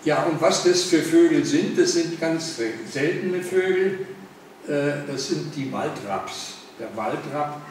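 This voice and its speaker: A man's voice: a little high, slow. An older man with a Berlin accent giving a public lecture in a large room, talking conversationally with frequent fillers and asides to his listeners. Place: large indoor meeting room. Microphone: far camera, no mic. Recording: echoey room, distant camera, noisy.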